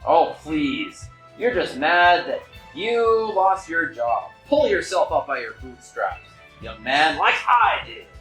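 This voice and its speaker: Man acting a pompous monopolist, swooping pitch, echoey room. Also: big pitch swings, takes it slowly, fairly bright tone, full character voice. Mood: scornful. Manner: pompous, dismissive, exclaiming. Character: haughty older male monopolist, condescending and easily outraged. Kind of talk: theatrical table reading. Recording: echoey room with music behind.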